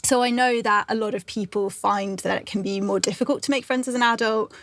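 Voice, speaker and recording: A young woman hosting a chat podcast, speaking warmly with frequent "um"s. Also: a little high, fairly even intonation, a little fast, dry recording. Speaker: podcast host. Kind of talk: casual chat.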